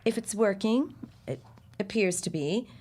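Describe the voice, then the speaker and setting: A woman's voice: medium pitch, lively intonation, unhurried, a little mellow. An actress playing a genteel, composed society lady, formal and precise, in a period audio drama. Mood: poised, businesslike.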